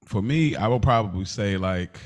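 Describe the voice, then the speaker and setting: A man with a fairly low-pitched voice co-hosts a casual podcast. He talks like he is among friends, spinning stories in loose slang and leaning on "bro" and "like" as he goes. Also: moderate pace. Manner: slangy, animated storyteller, often says "bro" and "like". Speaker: podcast co-host. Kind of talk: casual chat.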